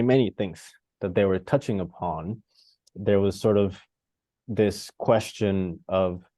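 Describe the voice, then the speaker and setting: A man from Costa Rica with a low, soft, mellow voice, recorded dry and close on a video call. He thinks out loud and rambles, full of "um" and "like" and restarts as he searches for what he means. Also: no echo. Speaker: participant. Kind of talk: reflective discussion on a video call.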